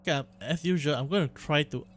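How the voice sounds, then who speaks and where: A man's voice: fairly high pitch, fairly quick, slight background noise. A young man from Singapore, hosting a casual let's play and rambling on, often correcting himself mid-sentence. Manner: rambling, self-correcting, chatty. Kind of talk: casual gameplay commentary.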